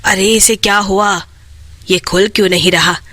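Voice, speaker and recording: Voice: medium pitch, steady tone, unhurried, bright, forceful tone, no room sound, pitched below the performer's own voice. A storyteller voicing a greedy, impatient, scornful young man in a cartoon tale. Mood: alarmed.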